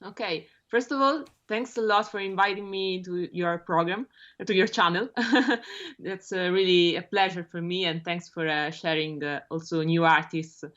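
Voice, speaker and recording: A young Italian-accented woman answers interview questions over a video call. She talks in a lowish voice, hesitant and full of fillers, laughing often. The sound is dull and lo-fi. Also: moderate pace, a bit bright. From Rome, Italy. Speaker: guest bassist.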